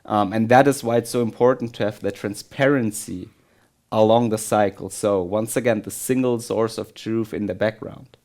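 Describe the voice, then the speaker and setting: A man's voice: fairly low pitch, fairly even intonation, normal speed, darkish tone. Explanatory man in a podcast conversation. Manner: explanatory, frequently checks in with "right?". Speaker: podcast host. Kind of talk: conversational business podcast discussion.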